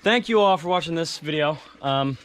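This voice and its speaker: A man's voice: on the high side, animated, moderate pace. A young man, chatting casually and joking while riding four-wheelers outdoors on a farm. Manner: joking, calls out to riders. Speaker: vlogger. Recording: loud engine and wind noise.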